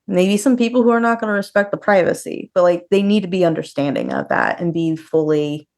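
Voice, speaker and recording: Casual woman podcaster, slightly low voice. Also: big pitch swings, brisk, creaky, darkish tone. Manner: conversational, peppered with "like". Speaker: podcast co-host. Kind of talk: casual chat about horror news.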